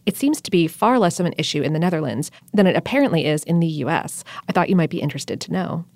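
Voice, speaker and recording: A woman co-hosts a podcast in a low-pitched voice, eager and quick to laugh. Her speech tumbles out with small restarts. The recording is dry and close. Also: animated, darkish tone, dry recording. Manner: enthusiastic, laughs often, a little halting. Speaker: podcast co-host. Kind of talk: casual chat.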